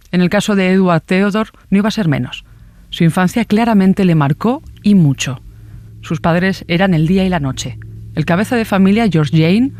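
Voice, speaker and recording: A man from narrates a true crime documentary. His voice sits a little high for a male narrator, and he reads from a prepared script. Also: darkish tone.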